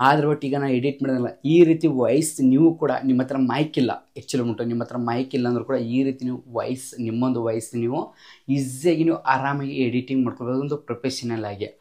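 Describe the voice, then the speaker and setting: A man hosts a casual how-to for video creators. He speaks extremely quickly at a medium pitch. His explanations are emphatic and repetitive, sprinkled with English technical terms. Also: steady tone, dark tone. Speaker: YouTube tech tutorial host. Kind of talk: informal step-by-step app tutorial.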